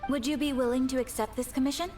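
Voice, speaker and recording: A woman's voice: high voice, fairly even intonation, quick pace, darkish tone, recorded from a distance, close to an everyday voice. A woman voicing a concerned, businesslike video game character, polite and formal. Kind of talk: scripted game dialogue. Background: game music.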